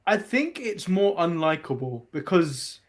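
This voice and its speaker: An English man with a high-pitched voice chats about wrestling as a podcast co-host. He rambles casually through his opinions with a grumbling, conversational delivery. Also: sing-song, unhurried. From England. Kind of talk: casual sports chat.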